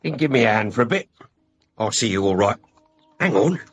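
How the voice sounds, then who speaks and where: A gruff English stable hand in a Victorian radio play. His voice is rough-edged and husky, with big swings in pitch. He chats bluntly in working-class slang. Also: lively intonation, a little fast, faint music behind. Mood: chummy. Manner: blunt, colloquial. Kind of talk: radio drama acting.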